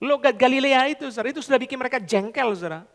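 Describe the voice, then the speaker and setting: High-voiced pastor in reverberant hall. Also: male voice, animated, rapid-fire. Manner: preaching with big swings from loud high emphasis to low quiet asides; repeats "saudara" constantly, mixes in English phrases and colloquial Jakarta slang. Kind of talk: sermon.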